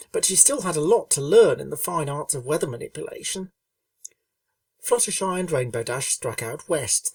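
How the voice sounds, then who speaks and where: A woman reading a story aloud in a calm, steady narrating voice. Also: a bass voice, dry recording, recorded from a distance. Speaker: fanfiction reader.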